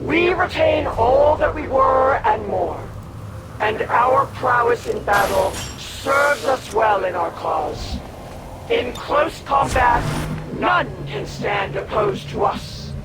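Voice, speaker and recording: A man voicing a proud, defiant hybrid rebel warrior in a video game, declaiming solemnly and forcefully. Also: very high voice, very animated, slow, heavy background noise, exaggerated voice. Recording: loud game music over voice.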